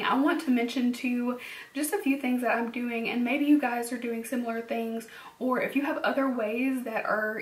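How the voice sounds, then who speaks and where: A young woman speaks straight to her viewers in a soft, earnest way, her fairly high voice worn and close to cracking. She fills pauses with ums and quick little laughs. The sound bounces around a bare room and she sits a little back from the microphone. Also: reverberant, distant mic. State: losing her voice, slightly cracking. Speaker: beauty vlogger. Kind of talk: personal vlog talking to camera.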